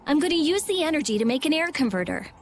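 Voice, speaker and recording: An actress voicing a brainy, blunt teenage girl mage in a video game, with a brisk, matter-of-fact, snappy delivery. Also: high-pitched, big pitch swings, slight background noise. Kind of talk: animated game voice acting.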